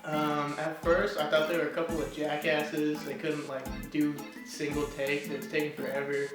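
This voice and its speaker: A man bluntly and exasperatedly complaining about slow recording sessions. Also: a little high, monotone. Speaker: interviewee. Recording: very echoey room with music underneath.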